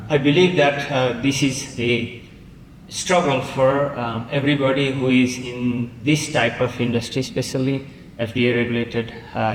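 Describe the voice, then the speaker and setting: A man from Nepal speaks as a panel guest, halting and full of fillers and pauses. His voice rings out in a large hall with a long reverb and a faint hiss behind it. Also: mid-range voice. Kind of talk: conference panel answers. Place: large conference room.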